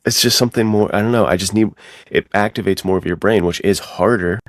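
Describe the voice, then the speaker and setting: A man's voice: lowish voice, a little fast, no room sound, studio quality. A man talking casually as a podcast co-host, slangy and self-deprecating. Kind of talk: casual chat.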